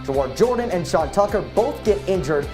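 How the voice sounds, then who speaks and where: A man's voice: sits high, lively intonation, a little fast, over music. A man in his twenties delivering sports broadcast narration and commentary as a reporter and announcer. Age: 20s.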